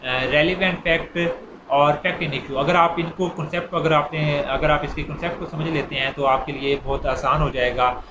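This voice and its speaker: A man's voice: fairly high pitch, steady tone, moderate pace, lo-fi sound. Echoey recording of a Pakistani male lecturer. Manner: repetitive, step-by-step explaining. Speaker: law lecturer. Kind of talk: informal lecture, explaining with examples. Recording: echoey room with clear background noise.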